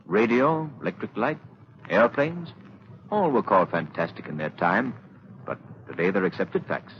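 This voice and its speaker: A man's voice: lowish voice, expressive, normal speed, a little hiss or hum. An actor playing a courteous, assured, wealthy young man about town in a 1930s radio drama. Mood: earnest. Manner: polite, urbane, earnest. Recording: muffled old radio recording.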